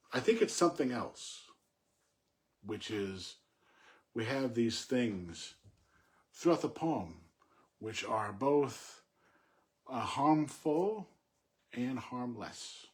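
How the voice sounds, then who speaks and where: A man informally analysing a poem, thinking aloud in a halting, reflective way with pauses between short phrases. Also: mid-range voice, big pitch swings. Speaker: poetry commentator.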